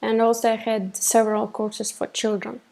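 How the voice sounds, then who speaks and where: A woman's voice: fairly high pitch, little pitch movement, normal speed, gravelly, dark tone, low-quality recording. A woman in her thirties from Russia giving a live webinar on web development from a small room, speaking hesitantly with many fillers and restarts. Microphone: webcam on a laptop.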